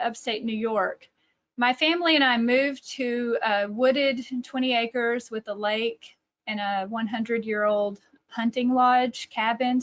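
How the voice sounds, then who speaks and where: A middle-aged Texan woman talks over a video call, sharing a story with frequent restarts and fillers. Her voice sits fairly high, and the webcam audio comes through dull and lo-fi. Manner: warm anecdotal, many fillers and restarts. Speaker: author and catechesis presenter. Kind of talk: storytelling presentation. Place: home room, indoors.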